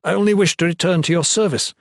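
A man's voice: medium pitch, brisk, no echo, clean studio sound, close to an everyday voice. A man voicing a distressed, contrite young male protector who pleads in formal, courtly wording for a storybook. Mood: desperate begging.

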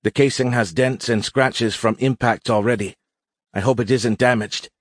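A man's voice: medium pitch, fairly even intonation, moderate pace, no echo, natural voice. A man voicing a matter-of-fact, forthright woman pilot in an audiobook, explaining things earnestly to a commander.

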